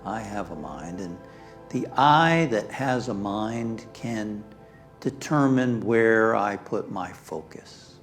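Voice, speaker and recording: A man leading a guided meditation as a minister, speaking calmly and soothingly in short phrases with pauses, softly trailing off toward near-whispers. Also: lowish voice. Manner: calm, soothing, pausing between short phrases. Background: background music.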